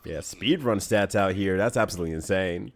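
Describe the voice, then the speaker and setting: A man's voice: low-pitched, brisk. A man co-commentating a group let's play, joking and laughing often. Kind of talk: casual gameplay chat.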